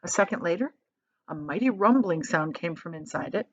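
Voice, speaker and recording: A woman reading a children's book aloud as an expressive storyteller. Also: fairly low pitch, moderate pace, dull audio.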